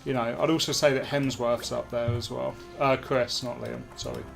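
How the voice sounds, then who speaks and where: A man's voice: medium pitch, little pitch movement, normal speed, gravelly. A man in casual gaming banter, teasing, blunt and crude, swearing freely. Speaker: gamer co-host. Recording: music playing behind.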